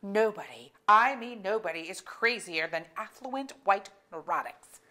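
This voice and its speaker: A woman's voice: mid-range voice, expressive, measured pace, pushed and bright. A woman playing a blunt, irritable middle-aged wife and weary therapist in a staged play reading, with an emphatic, sardonic delivery. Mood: sardonic, exasperated.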